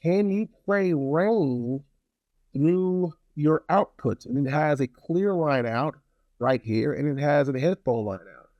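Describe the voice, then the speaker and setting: A man's voice: a little high, expressive, slow pace, dry recording, muffled recording. A man talking casually to viewers in a rambling, blunt product review, often repeating phrases for emphasis. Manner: opinionated, blunt.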